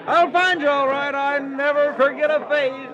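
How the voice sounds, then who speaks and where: A man's voice: very high voice, fairly even intonation, normal speed. An actor playing a chummy, overbearing traveling salesman with a folksy, pushy way of talking, in 1940s radio drama style. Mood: hearty, chummy. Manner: loud, folksy, pushy, chuckling backslapper. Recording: dull old radio recording, crowd bustle behind.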